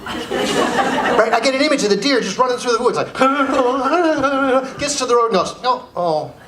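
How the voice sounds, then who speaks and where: A middle-aged Canadian man giving a humorous motivational keynote as an animated storyteller, repeating phrases for emphasis. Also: extremely high pitch, a little slow, a crowd in the background. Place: indoor speaking venue with audience. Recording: roomy live hall, faint background noise.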